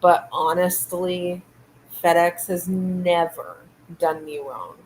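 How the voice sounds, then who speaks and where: A woman's voice: lowish voice, fairly even intonation, slow pace, darkish tone, a little hiss or hum, poor audio. Meandering woman chatting late at night. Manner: meandering and hesitant, many fillers and trailing thoughts. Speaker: livestream host. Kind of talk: casual late-night livestream chat. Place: home room lit by fish tanks at night.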